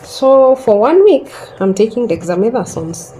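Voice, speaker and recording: A woman in her thirties slowly telling a personal story in a calm, reflective way, pausing often. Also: fairly high pitch, a little hiss or hum, low-quality recording. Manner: slow and reflective, with long pauses. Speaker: host sharing a personal story.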